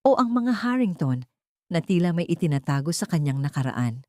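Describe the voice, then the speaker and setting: A woman's voice: sits low, big pitch swings, normal speed, darkish tone, no echo, studio quality. A woman narrating a scripted audio story in a dramatic style.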